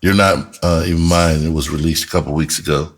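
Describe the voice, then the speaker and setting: A man talking casually as a vlog host and musician, telling stories in a halting way with pauses to think. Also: a bass voice, steady tone. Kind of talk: casual storytelling to camera.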